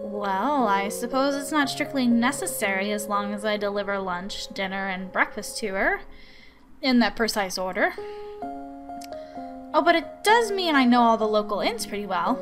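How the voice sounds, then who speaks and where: A woman's voice: mid-range voice, lively intonation, normal speed, music in the background, voice pushed down, lightly acted. A woman voicing an anxious, apologetic, chatty caretaker in a visual novel, rambling politely. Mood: chatty, helpful.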